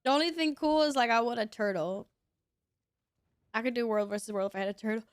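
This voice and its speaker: A woman's voice: on the high side, fast talker, smooth, no echo, studio quality. A young woman streaming a game, sniffly from sneezing, chatting casually and reacting as she plays. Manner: chatty, reacts to gameplay, reads chat aloud. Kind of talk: casual gaming stream chat.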